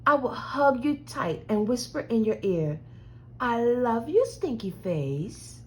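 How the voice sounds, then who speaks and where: A woman reading the part of a loving, patient mother in a picture book, tender, soothing and expressive. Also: a little high, takes it slowly, rough recording. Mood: loving reassurance.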